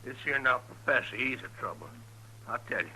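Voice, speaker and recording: A simple country townsman out for revenge, radio western, blunt, hard bright voice. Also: medium pitch, lively intonation, a little fast, a little hiss or hum, poor audio. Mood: aggrieved. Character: simple, aggrieved, vengeful male townsman. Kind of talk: old-time radio western acting.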